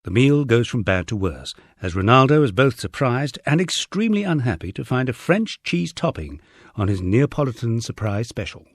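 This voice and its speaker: A man's voice: medium pitch, big pitch swings, normal speed, dry recording, clean studio sound. A man narrating a first-person memoir audiobook in a wry storytelling manner.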